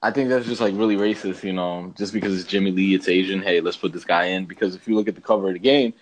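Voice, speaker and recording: Casting: male podcast co-host, lower-pitched voice, casual and opinionated, loose slangy phrasing. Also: fairly even intonation, fast talker, slight background noise, rough recording. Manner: slangy, says bro and man often. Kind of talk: casual opinionated movie chat.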